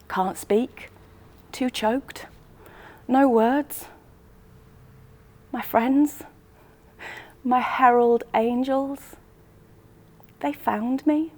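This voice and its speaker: A lonely, self-mocking woman played by an actress tells her own story in a dramatic monologue. Her voice sits fairly high, and she speaks intimately and expressively in short, clipped phrases. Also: darkish tone. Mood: moved, tender. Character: lonely woman choir member living alone, wistful, self-mocking. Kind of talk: first-person dramatic monologue.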